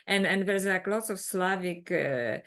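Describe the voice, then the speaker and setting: A woman from Poland, the guest in a conversational interview, talking in an animated way full of restarts and "you know". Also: lowish voice, unhurried. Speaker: guest author.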